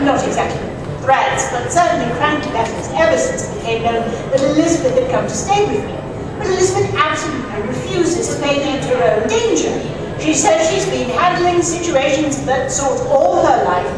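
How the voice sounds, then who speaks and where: A woman's voice: high voice, animated, moderate pace, heavy background noise. A woman playing a middle-aged, overwrought, genteel society lady in an old-time radio drama, fluttery and melodramatic. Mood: confiding, anxious. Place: live stage performance in a large hall. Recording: very echoey hall, distant mic, loud audience.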